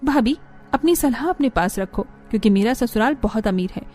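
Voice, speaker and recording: A woman's voice: on the high side, animated, moderate pace, darkish tone, faint music behind, lightly acted. A woman voicing a boastful, spoiled young newlywed in a cartoon story, with a whiny, dramatic delivery. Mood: haughty. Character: boastful, spoiled young newlywed daughter-in-law flaunting her in-laws' riches. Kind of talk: Hindi cartoon story dialogue.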